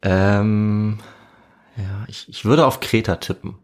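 A man's voice: fairly low pitch, fairly even intonation, slow pace, darkish tone. A man hosting a podcast in a casual, chatty style. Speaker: podcast host.

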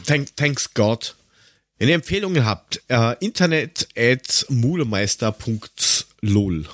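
A man co-hosting a podcast in casual, joking chat, rambling and haltingly searching for words with a dry sarcastic streak. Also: medium pitch, expressive, fairly bright tone, no room sound. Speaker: podcast co-host.

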